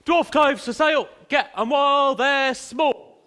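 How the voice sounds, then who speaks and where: A man's voice: very high-pitched, a little slow, bright, forceful tone, full character voice. A man playing a cheeky street seller calling out his wares in a stage pantomime. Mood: hawking, cheeky.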